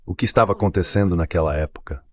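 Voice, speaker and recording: Male commentator, Brazilian, very low register, blunt and repetitive in dubbed political talk. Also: quick pace, darkish tone. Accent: Brazilian Portuguese. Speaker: guest commentator.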